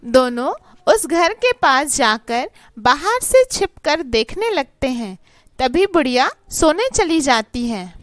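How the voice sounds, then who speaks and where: Slow, lively woman narrating a children's story. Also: high-pitched, dry recording.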